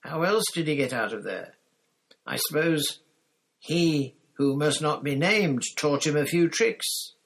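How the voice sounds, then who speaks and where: A man reading an audiobook, voicing a frightened, sweaty man who squeals shrill denials. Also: lively intonation, slow, fairly bright tone. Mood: pleading.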